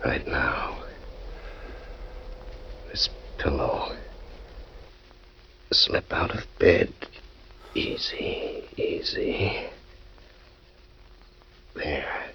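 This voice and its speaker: A hushed would-be murderer. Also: male voice, a bass voice, drawn-out words, long pauses, in a whisper, exaggerated voice. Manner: hushed, menacing. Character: man plotting to smother his wife. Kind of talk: 1940s radio acting. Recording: muffled old radio recording.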